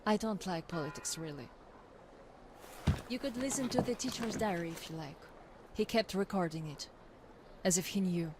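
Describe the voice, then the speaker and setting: A woman's voice: lowish voice, normal speed, pauses often, very noisy, natural voice. An actress playing a tough, proud young woman survivor in a video game, confiding in a blunt, reflective way. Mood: regretful. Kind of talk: reflective scripted game monologue.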